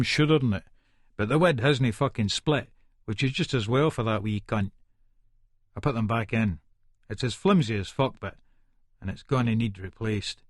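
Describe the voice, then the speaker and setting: A male actor plays a volatile Edinburgh hard man telling his own story in a dramatised audiobook. His broad Scottish speech is aggressive, colloquial and thick with swearing, sitting at a middling pitch. The sound is close and dry. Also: dry recording, natural voice. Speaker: audiobook narrator in character. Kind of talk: first-person dramatised audiobook monologue.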